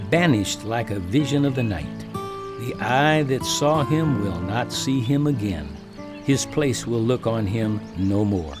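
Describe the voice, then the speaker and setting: An actor playing an indignant, severe man who condemns the wicked in declamatory audio Bible drama. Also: mid-range voice. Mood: scornful. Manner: declamatory, forceful rhetoric.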